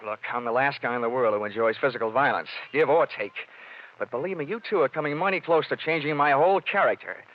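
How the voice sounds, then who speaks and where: A man playing a wisecracking, sardonic insurance investigator in an old-time radio detective drama, narrating in the first person with deadpan wit. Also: medium pitch, fast, lightly acted. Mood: tough, mocking. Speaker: narrator and lead actor. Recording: dull lo-fi radio recording with music.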